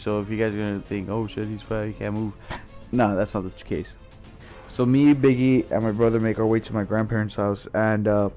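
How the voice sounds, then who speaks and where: A man's voice: on the low side, a little mellow. A young adult man telling a casual story, slangy, rambling and sweary.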